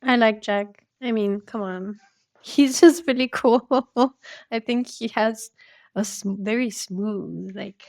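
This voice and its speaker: A woman's voice: mid-range voice, takes it slowly, creaky, a little mellow, no echo, studio quality. A woman hosting a podcast, interviewing in a conversational, informal and friendly way. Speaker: podcast host.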